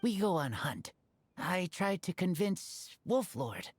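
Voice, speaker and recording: An actor voicing a gentle, terse feral boy raised by wolves in a video game, speaking in halting, broken phrases. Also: high-pitched, sing-song, slightly rough, exaggerated voice. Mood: guarded, friendly.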